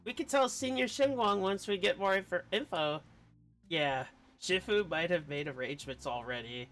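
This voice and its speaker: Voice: mid-range voice, expressive, moderate pace, fairly bright tone. A game streamer chatting casually over live play, rambling and easily flustered with a giggly manner. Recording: music behind the voice. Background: game music.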